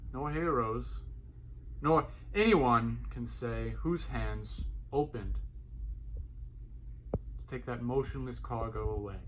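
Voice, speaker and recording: A man playing a theatrical, solemn bard who recites an epic tale to townsfolk in a grand, declamatory manner with dramatic pauses, in radio play style. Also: medium pitch, a bit bright, a little hiss or hum, rough recording. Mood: solemn, mournful.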